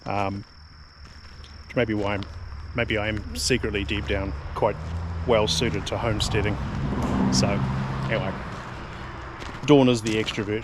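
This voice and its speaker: A middle-aged man with a New Zealand accent talks in a loose, halting ramble, stalling on ums and repeating his words as he thinks aloud. His voice sits a little low. A faint hiss of noise runs underneath. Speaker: vlogger. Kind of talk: casual rambling monologue.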